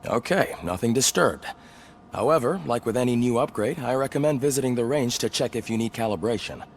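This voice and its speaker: Game tech male actor: matter-of-fact clinician, mid-pitched voice, measured and detached. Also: lively intonation, slight background noise, close to an everyday voice. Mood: clinical, focused. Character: clinical, matter-of-fact technician doctor fitting a chip. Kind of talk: video game cutscene acting.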